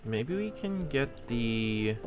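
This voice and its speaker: A man's voice: medium pitch, very animated, takes it slowly. A man giving casual let's-play commentary, musing aloud as he thinks through puzzles. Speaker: gaming host, player. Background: game music.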